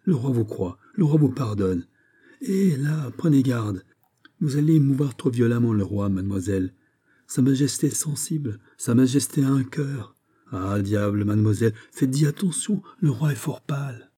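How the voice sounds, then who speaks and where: A man's voice: medium pitch, lively intonation, moderate pace, warm tone, husky, voice pushed up. A man voicing a deferential, fussing courtier in an audiobook, speaking in a coaxing, courtly manner. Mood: coaxing, alarmed. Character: discreet, fussing male courtier, soothing and deferential.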